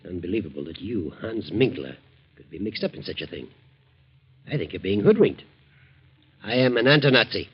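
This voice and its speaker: Incredulous, husky gentleman in old radio drama. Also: fairly low pitch, a little fast, pauses often. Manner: scoffing, expressive. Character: incredulous gentleman protesting his innocence to an armed accuser. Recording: dull muffled old radio recording.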